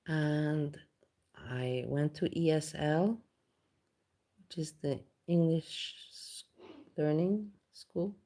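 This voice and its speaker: Low-voiced Austrian woman, rambling candid vlog chat. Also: fairly even intonation, extremely slow, pauses often, warm tone. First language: German. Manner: rambling, candid, swears casually. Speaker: vlogger. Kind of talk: casual chat.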